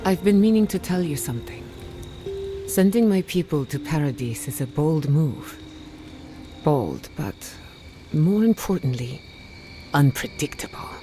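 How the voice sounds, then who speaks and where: Fantasy game scholar: low-voiced woman, earnest and burdened, measured delivery over a music bed. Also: lively intonation, warm tone. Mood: wary, resolute. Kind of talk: dramatic video game dialogue.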